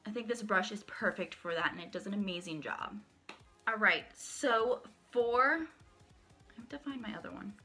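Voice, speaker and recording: A young woman talks to camera at home in a bright, crisp voice, chatty and upbeat as she shows off each item. She is sick, so a slight crack slips into her voice now and then. Her pitch sits in the ordinary middle range. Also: normal speed, soft background music. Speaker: beauty vlogger. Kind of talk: casual product walkthrough. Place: small room at home, indoors.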